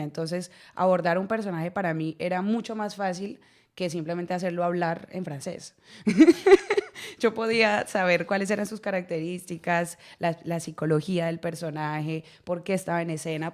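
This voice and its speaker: A Colombian woman in her thirties with a Colombian accent, an actress telling her story in a radio interview, laughing often and repeating words as she goes. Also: lowish voice. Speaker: actress guest. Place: radio studio.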